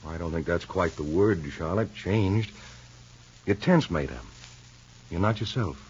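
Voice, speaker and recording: A level-headed husband with a very low, husky voice, calm and plain-spoken. It sits inside a dull, lo-fi old radio recording. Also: male voice, a little slow, exaggerated voice. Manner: calm, matter-of-fact, kindly. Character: steady, sensible married man. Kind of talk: 1940s radio soap acting.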